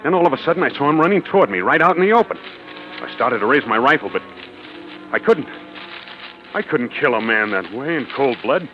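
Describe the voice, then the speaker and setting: A man's voice: medium pitch, very animated, a little fast, pauses often, slight background noise, lightly acted. An actor playing a frightened, suspicious man who narrates tensely and dramatically in a 1940s radio suspense play. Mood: rising panic. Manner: tense, brooding, dramatic delivery. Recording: dull old radio recording with music.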